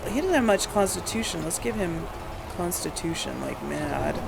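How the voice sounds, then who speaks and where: A man's voice: high voice, lively intonation, moderate pace, gravelly, background noise. A man giving casual gameplay commentary, thinking aloud as he hosts a let's play. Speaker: gamer, let's play host.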